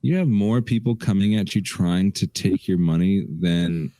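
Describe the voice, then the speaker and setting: Podcast co-host, young man, deep voice, laid-back storytelling, lots of restarts, dry studio sound. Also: moderate pace, no room sound. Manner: relaxed, rambling, restarts a lot. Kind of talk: casual chat.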